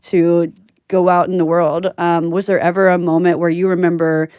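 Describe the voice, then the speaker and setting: Woman, slightly low pitch, dry room-free sound, supportive chatty podcast interviewer. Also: level tone, normal speed, clean tone, no room sound, highs cut off. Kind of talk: casual conversational interviewing.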